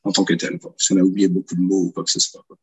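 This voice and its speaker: A man in his thirties, a guest author answering interview questions in relaxed, casual speech full of hesitations and repeated words, recorded over a video call from a small room. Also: sits low, rapid-fire, poor audio. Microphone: video call, earphones.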